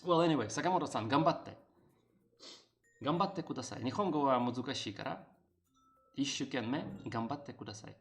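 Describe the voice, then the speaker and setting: A man's voice: mid-range voice, brisk, long pauses. A male streamer talks loosely to his chat while he works, piling up fillers and restarts. He drops into broken learner Japanese for a joke. Faint music plays behind him. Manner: rambling, many fillers, answers chat. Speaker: streamer and game developer. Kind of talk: casual live-stream chat while drawing.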